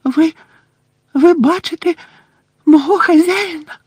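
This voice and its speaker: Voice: very high-pitched, takes it slowly, darkish tone, no room sound, pitched above the performer's own voice. A reader voicing a tearful, devoted female house-elf who sobs and talks in broken grammar about herself in the third person. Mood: breathless. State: sobbing.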